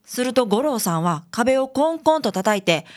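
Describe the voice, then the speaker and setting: A woman's voice: on the high side, big pitch swings, normal speed, a little mellow. A woman playing a perky young female detective's assistant with a Kansai accent in a radio drama. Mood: narrating. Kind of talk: radio drama narration and dialogue.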